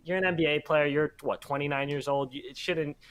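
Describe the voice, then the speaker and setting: A man from the United States talking as a podcast guest in a halting, nervous way, often restarting his sentences. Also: fairly high pitch, steady tone, fairly bright tone. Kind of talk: casual podcast conversation.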